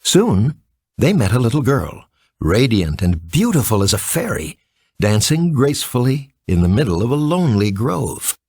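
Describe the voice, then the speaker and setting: A man reading a children's story as an expressive scripted narration. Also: medium pitch, normal speed, breathy edge, no echo, studio quality.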